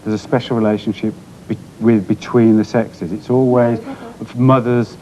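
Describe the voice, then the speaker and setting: A man's voice: lowish voice, fairly even intonation, normal speed, dark tone, a little hiss or hum. A man hosting a studio talk show, pressing guests with leading questions. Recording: dull lo-fi TV recording.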